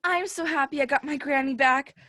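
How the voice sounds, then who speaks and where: A sweet young girl acted by a woman with a high voice. She is a loyal, grateful friend in a fairy-tale play. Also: a little fast, bright, forceful tone. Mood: sweet, curious. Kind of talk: stage play acting.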